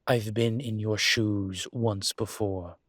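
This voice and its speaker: A man playing a weary, earnest incubus demon in a dramatic audio roleplay, pleading diplomatically in a measured, halting way with dry asides. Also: on the low side, fairly even intonation, fairly bright tone, no echo. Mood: regretful, gentle.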